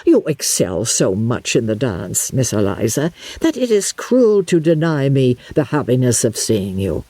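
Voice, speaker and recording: A woman playing a genial, talkative older gentleman with an effusive, flowery, gallant manner in an audiobook. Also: very deep voice, big pitch swings, unhurried, slightly rough, no echo, full character voice. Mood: gallant, coaxing.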